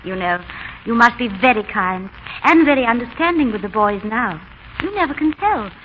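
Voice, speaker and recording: An actress playing a warm, playful young wife who gently teases and coaxes, in 1930s radio drama style. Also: female voice, a little high, expressive, normal speed, lightly acted. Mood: tender, teasing. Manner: affectionate, teasing, persuasive. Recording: dull, noisy 1930s radio recording.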